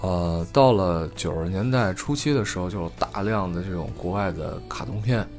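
A man's voice: deep voice, normal speed, darkish tone, soft background music. A middle-aged man co-hosting a podcast, thinking aloud in casual, unscripted chat and stressing his points. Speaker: podcast co-host.

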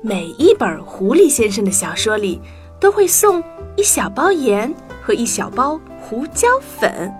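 A woman narrating a story for children in an expressive, animated storyteller's style. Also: fairly high pitch, a little slow. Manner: expressive, addresses young listeners. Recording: music bed behind the voice.

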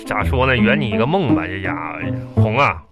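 An actor playing a bickering, clowning husband in a radio comedy, with a Northeastern Chinese accent and an earthy, stammering, exasperated delivery. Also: male voice, on the low side, animated, moderate pace, over music, natural voice. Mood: grudgingly romantic. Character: bickering, blunt, clowning working-class husband from northeast China. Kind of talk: live comedy radio drama acting.

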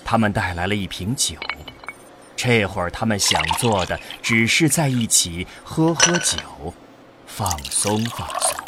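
A man's voice: on the low side, lively intonation, unhurried, background noise. A man reading scripted narration for a science fiction radio drama.

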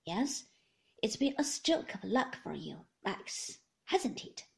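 A woman's voice: medium pitch, very animated, slow, vocal fry, breathy edge, heavily acted. A woman voicing a shaken, sneering man who speaks jerkily and mockingly. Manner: jerky, bitter, mocking.

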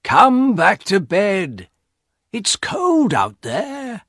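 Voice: medium pitch, wildly swooping pitch, bright, forceful tone, husky, no echo, studio quality, pitched above the performer's own voice, full character voice. Someone playing an anxious old husband, widely swooping voice. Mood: calling. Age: older. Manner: anxious, drawn-out emphatic protest. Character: tired, fearful old husband, sorrowful and alarmed. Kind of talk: audiobook character acting.

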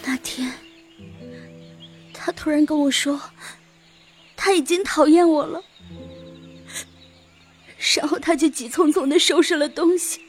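A woman's voice: high voice, a little slow, long pauses, faint music behind. A woman playing a heartbroken young elf girl with a plaintive, pleading manner in an audio drama. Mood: sorrowful. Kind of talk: Chinese audio drama acting.

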